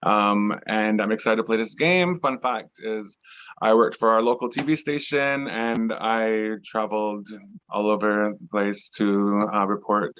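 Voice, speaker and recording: A man from the Mohawk Nation near Cornwall, Ontario, introduces himself on a video call, pausing often with fillers. His voice is low and smooth. The call sounds dry and a little muffled. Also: fairly even intonation, fairly bright tone, dry recording. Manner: hesitant, many fillers. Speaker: game player. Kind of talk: casual self-introduction.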